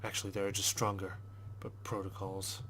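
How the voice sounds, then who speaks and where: A man reading visual novel text aloud as a let's player, in a measured, understated storytelling manner with brief asides. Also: deep voice, flat delivery, fairly bright tone, slight background noise. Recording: music behind the voice. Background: game music.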